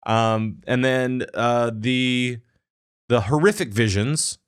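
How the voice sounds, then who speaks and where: A man's voice: medium pitch, takes it slowly, clear, resonant tone, no echo, studio quality. A man hosting a casual gaming podcast, joking playfully and repeating phrases for emphasis. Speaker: podcast host.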